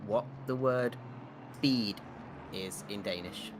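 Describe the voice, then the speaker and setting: A man's voice: on the low side, background noise. A man leading live sim racing commentary, playful and teasing with his co-hosts while calling the action. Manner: playful, teasing, quick race calls. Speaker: lead commentator.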